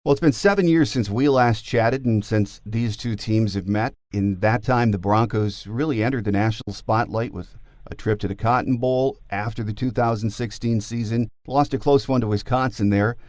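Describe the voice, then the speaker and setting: A man's voice: on the low side, moderate pace, darkish tone, no room sound, studio quality. A middle-aged man reading scripted sports podcast narration as the host.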